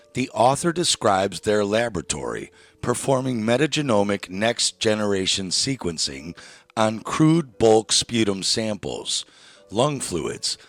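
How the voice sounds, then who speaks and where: Sardonic deep-voiced male documentary narrator. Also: no room sound. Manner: wry, sardonic, with dry asides. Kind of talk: scripted narration.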